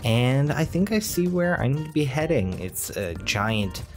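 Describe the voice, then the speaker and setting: A man's voice: medium pitch, sing-song, a little slow, faint music behind. A man hosting a podcast, reading a sponsor message in a playful in-character style. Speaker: podcast host.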